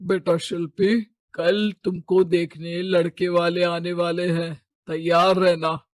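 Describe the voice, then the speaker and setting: A man's voice: sits high, flat delivery, slow pace, no room sound. A man voicing a stern, status-proud middle-aged father in a cartoon moral story, domineering and scornful. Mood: commanding.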